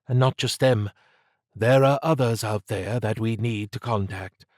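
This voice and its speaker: Guy reading as a brisk lady boss in an audiobook, resolute and wry, dry close sound. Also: fairly low pitch, a little mellow, no room sound, close to an everyday voice. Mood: grave, resolute. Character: brisk, resolute woman commander of a secret foundation. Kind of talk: dramatised audiobook dialogue.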